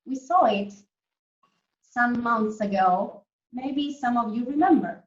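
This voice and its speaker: A woman teacher reading a story to young children in a deliberate, animated way, often putting questions to them. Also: fairly high pitch. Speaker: primary school teacher reading a story to pupils. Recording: roomy, dull lo-fi sound.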